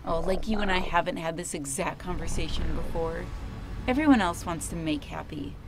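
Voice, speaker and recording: A woman playing a blunt, cynical young woman in a visual novel game, sardonic and deadpan. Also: fairly low pitch, normal speed, lightly acted. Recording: music under the voice.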